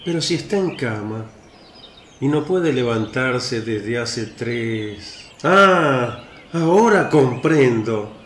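A man's voice: medium pitch, lively intonation, slow pace, a bit bright. An actor playing a humble old father in a radio play, reverent and emotional. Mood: reverent, grateful. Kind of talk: Spanish-language radio play acting. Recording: roomy echo, faint birdsong noise.

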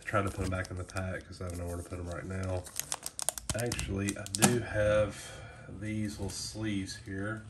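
A man with a very low, stuffed-up nasal voice from a head cold talks loosely and rambles. He reads card names aloud close to a camera mic, with loud wrapper crinkling all around him. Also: monotone, moderate pace, heavy background noise. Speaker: card collector unboxing trading cards. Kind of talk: casual unboxing commentary. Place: indoors at a small table, close to the camera.

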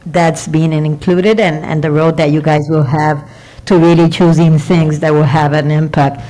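A woman patient advocate with a Puerto Rican accent, raising a point earnestly with some halting fillers. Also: deep voice, level tone, warm tone, low-quality recording. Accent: Puerto Rican Spanish. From Puerto Rico.

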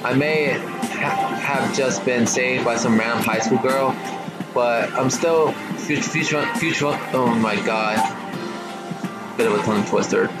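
A man's voice: medium pitch, measured pace, rough recording. A man streaming a game, reading its text aloud, stumbling and restarting over words, with slangy asides. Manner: stutters on some words. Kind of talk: casual let's-play reading of game text. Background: loud game music.

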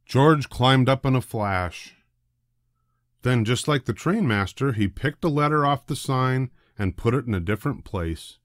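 Older guy reading a kids picture book, slow and super expressive, lots of up and down. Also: mid-range voice, dry recording, clean studio sound. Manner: deliberate, expressive. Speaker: storybook reader. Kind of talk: children's storybook narration.

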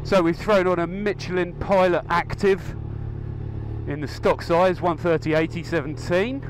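A young man with a fairly high voice talks to the camera from his motorbike. He rambles cheerfully, with plenty of ums, into a helmet mic. Faint road and engine noise sits under his voice. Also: steady tone, normal speed. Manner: chatty, rambling, upbeat with filler ums. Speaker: motovlogger. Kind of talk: casual motorcycle vlog. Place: outdoors on a moving motorcycle.

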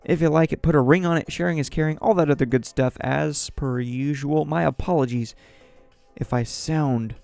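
A young man with a sore, raspy throat hosting a solo news commentary podcast from a small home studio, chatty with wry asides. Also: fairly high pitch, moderate pace, a little mellow, dry recording, faint music behind. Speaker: podcast host. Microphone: studio mic on boom, headphones.

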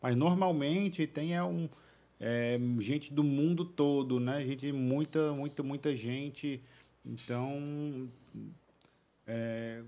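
A young man, a podcast guest, answering casually with many fillers and restarts, recorded on a boom-arm studio mic in a small studio. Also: darkish tone.

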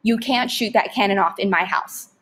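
A woman's voice: mid-range voice, normal speed, fairly bright tone, breathy edge. A woman reading a worried, disapproving storybook mother with a firm, urgent manner. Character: worried, disapproving mother protecting her boys.

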